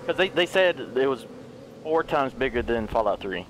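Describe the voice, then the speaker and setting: A man's voice: moderate pace, background noise. A man hosting a casual gaming video who talks to his viewers and then chats with a teammate during play, his voice strained from recent overuse. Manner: energetic, upbeat intro, then low-key gameplay chat.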